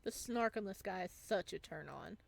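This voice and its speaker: A woman reading a visual novel aloud as a let's-play host, with expressive narration and casual asides. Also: on the low side, normal speed, gravelly.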